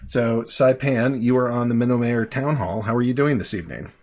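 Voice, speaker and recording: A man in his thirties hosting a live online call-in show, relaxed and chatty, with many fillers and restarts. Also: mid-range voice, brisk. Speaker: host. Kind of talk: casual live call-in chat.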